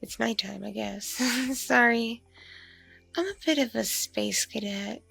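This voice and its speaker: A woman acting as a mature vampire hostess in an ASMR roleplay, with heavy vocal fry running through a fairly high voice. She is soft-spoken and flirtatious, drifting along slowly with absent-minded murmurs and hesitant pauses. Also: no echo, soft background music, full character voice. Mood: relaxed, self-deprecating. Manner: hesitant, murmuring, lingering pauses with ums and mms. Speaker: roleplay voice performer. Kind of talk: ASMR roleplay, one-sided intimate conversation.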